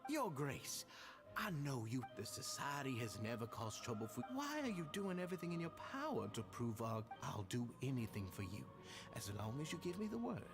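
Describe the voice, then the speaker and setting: An actor playing an agitated, emphatic subordinate pleading with a noble in a video game. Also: male voice, mid-range voice, very animated, moderate pace. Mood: indignant pleading. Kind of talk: dramatic video game voice acting. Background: game music.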